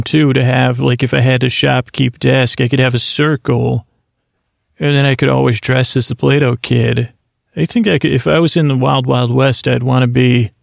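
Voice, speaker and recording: Male sleep-podcast host, heavily gravelly creaky voice, dry close sound, meandering and self-deprecating. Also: medium pitch, dry recording. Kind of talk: rambling bedtime talk.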